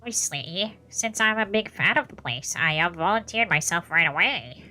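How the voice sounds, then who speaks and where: A woman voicing a sly, theatrical male official in a visual novel, with playful mock politeness and drawn-out emphasis. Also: on the low side, expressive, sharp tone, soft background music. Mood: smug. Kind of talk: visual novel character reading.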